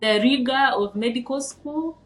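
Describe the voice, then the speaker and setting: Kenyan-accented woman, podcast interviewer, fairly high voice, hesitant fillers, roomy echo. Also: normal speed, faint music behind. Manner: hesitant, lots of ums before questions.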